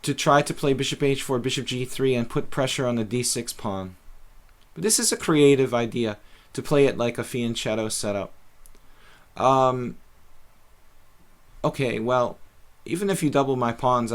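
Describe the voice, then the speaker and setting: A man's voice: medium pitch, unhurried, pauses often. A middle-aged man casually commentating his own chess games on a live stream, thinking aloud with many fillers.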